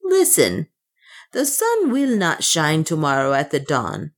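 A woman's voice: deep voice, huge pitch swings, slow, exaggerated voice. A woman voicing a commanding, fervent female traitress in an audiobook, solemn and emphatic, declaiming her news. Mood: ominous. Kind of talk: audiobook character dialogue.

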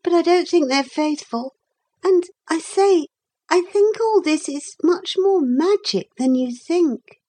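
Woman acting a young girl, high voice, earnest, stubborn, excitable, emphatic, old-fashioned storybook child. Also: takes it slowly, exaggerated voice. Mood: matter-of-fact, secretive.

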